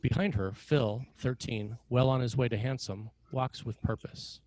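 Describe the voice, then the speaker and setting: A man reading scripted narration and stage directions as a screenplay narrator, in a measured, dramatic way. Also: mid-range voice, fairly even intonation, darkish tone, low-quality recording.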